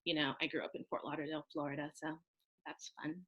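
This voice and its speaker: A woman's voice: low-pitched, flat delivery, fast talker, breathy edge, lo-fi sound. A young woman from Jamaica introducing herself cheerfully on a panel. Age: young adult. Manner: cheerful, lightly laughing. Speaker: panelist, service member. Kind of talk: casual introduction on a video call. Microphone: video call.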